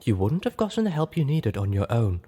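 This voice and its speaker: A man's voice: medium pitch, sing-song, brisk, mellow, husky. Man voicing a careful, insistent mare. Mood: hurt, insistent. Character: refined female pony, cautious, hurt but obstinate friend. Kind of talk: dramatized audiobook character voice.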